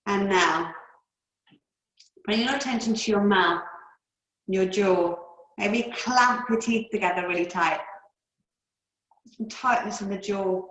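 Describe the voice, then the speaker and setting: A woman with a mid-pitched voice guides breathing and relaxation as a yoga teacher. She speaks calmly and soothingly, leaving long unhurried pauses. The sound is roomy, with clear echo around her words. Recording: roomy, echoey indoor sound.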